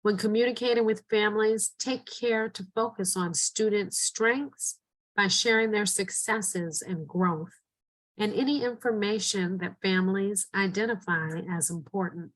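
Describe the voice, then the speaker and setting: A middle-aged woman, an educator, reading a training presentation in a steady, earnest, instructive way. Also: medium pitch, measured pace, poor audio. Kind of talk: scripted training narration.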